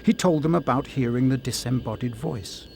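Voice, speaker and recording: A man narrating a scripted story in a measured, dramatic storybook manner. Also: medium pitch, gravelly, darkish tone, slight background noise.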